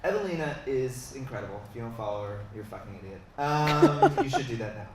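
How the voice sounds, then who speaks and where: A man hosting a live interview at a conference, loose and joking, often trailing off into low mumbles. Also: huge pitch swings, fast, recorded from a distance, over music. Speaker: podcast host and company head. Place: large hall at a live conference. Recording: very echoey hall, heard from off the mic, noisy.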